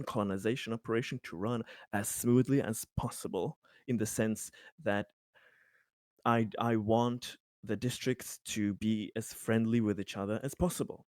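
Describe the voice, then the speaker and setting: A man voicing an earnest, long-winded, pragmatic colony official in an improvised tabletop game, speaking in halting, emphatic bursts. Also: on the low side, a little mellow, no room sound, studio quality, natural voice. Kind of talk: improvised tabletop roleplay dialogue.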